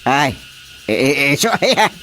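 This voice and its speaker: Slow, lively-pitched Ilocano village chairman. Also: a little hiss or hum, full character voice. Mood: hesitant. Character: unhurried village chairman, male, in comedy drama. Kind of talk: radio drama acting.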